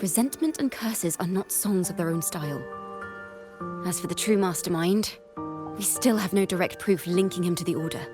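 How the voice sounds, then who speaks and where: An actress playing a gentle, thoughtful wandering bard in a video game, speaking in an expressive, measured and poetic way. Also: female voice, lowish voice, close to an everyday voice. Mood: earnest, grave. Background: game music.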